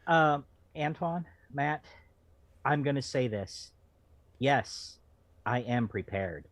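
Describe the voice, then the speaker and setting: A man's voice: medium pitch, animated, slow, dry recording. A man joining a group chat as a panel member, trading casual, wisecracking banter.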